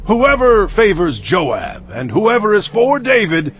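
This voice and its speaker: An actor playing a loyal, forceful soldier of ancient Israel rallying troops in a dramatized audio Bible. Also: male voice, high-pitched, huge pitch swings, takes it slowly, heavily acted. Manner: proclaiming. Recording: dull band-limited audio.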